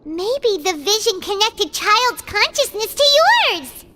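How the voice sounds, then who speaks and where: A woman's voice: extremely high pitch, big pitch swings, takes it slowly, full character voice. A woman voicing a tiny, chirpy fairy-like girl companion in a video game, who bubbly talks about herself in the third person. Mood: excited. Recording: game audio with loud background noise.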